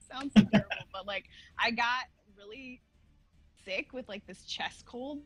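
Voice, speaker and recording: A woman's voice: fairly high pitch, a little slow, a bit bright, slightly rough, soft background music, poor audio. A woman guest on a podcast, telling stories in loose, rambling talk full of fillers and restarts. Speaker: guest, cosplay face model. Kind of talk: casual podcast chat.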